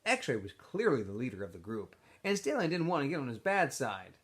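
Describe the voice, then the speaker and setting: A man, a teacher reading a novel aloud to students in an expressive, informal storytelling style. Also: mid-range voice, a little fast.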